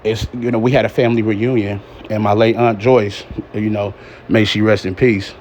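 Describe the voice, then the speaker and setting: A man's voice: on the low side, moderate pace. A man in his thirties chatting casually and plainly as he drives a truck, like a vlogger on the road. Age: 30s. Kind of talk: casual chat while driving. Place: inside a truck cab on the road. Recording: steady road and engine noise. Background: truck cab driving noise.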